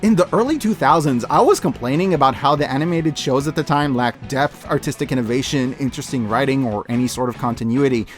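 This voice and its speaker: A man's voice: mid-range voice, fast talker, hardly any pauses, soft background music. A man giving a casual, scripted video review, speaking emphatically with sarcastic asides.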